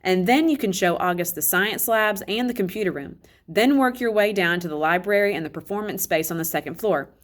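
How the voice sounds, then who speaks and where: A woman's voice: medium pitch, fairly quick, hardly any pauses, lightly acted. Storybook school director, adult man, upbeat and welcoming, chatty delivery, read by a woman. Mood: encouraging. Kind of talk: children's novel read aloud.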